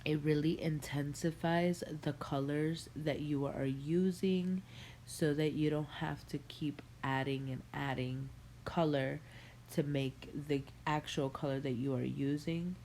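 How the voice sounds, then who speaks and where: Young female beauty vlogger, nasal from a cold, low-pitched and unhurried; casual tutorial patter at home. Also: slight background noise. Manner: relaxed, chatty, addresses viewers as guys. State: stuffy nose from a cold. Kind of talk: makeup tutorial walkthrough. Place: home room with a Christmas tree.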